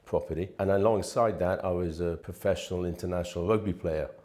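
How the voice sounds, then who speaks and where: A middle-aged man from Wales, a real estate manager, answering interview questions in a relaxed, anecdotal way with frequent restarts. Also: sits low, fairly quick.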